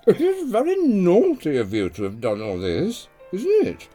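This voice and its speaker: Dithering British village mayor, swooping pitch. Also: male voice, huge pitch swings, unhurried, over music, full character voice. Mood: hesitant, fussy. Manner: hesitant, fussy, trailing questions. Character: dithering, easily swayed, childishly vague village mayor. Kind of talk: audio sitcom acting.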